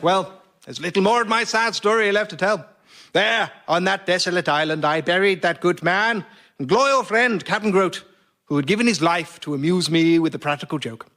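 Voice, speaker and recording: An actor playing a pompous, cheerfully oblivious gentleman adventurer, telling a florid old-fashioned tale in a radio sketch. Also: high voice, normal speed. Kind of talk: comic storytelling, radio sketch.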